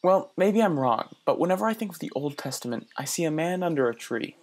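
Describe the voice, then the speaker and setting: A man's voice: on the high side, animated, a little hiss or hum. A man playing an earnest young city visitor. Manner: earnest, halting with small stammers. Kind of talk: radio play acting.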